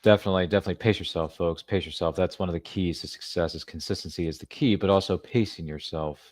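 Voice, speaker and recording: A man with a very low voice hosts a live interview, talking quickly in a casual, rambling way full of jokey asides. The sound is dry and close, with no room around it. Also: steady tone, a little mellow, no echo. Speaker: podcast host.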